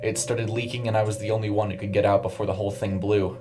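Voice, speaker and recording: A man's voice: fairly low pitch, level tone, fast, over music, close to an everyday voice. A man playing a distraught male sewer worker who has survived a horror, strained and emphatic, in an audio story. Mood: distraught, lying.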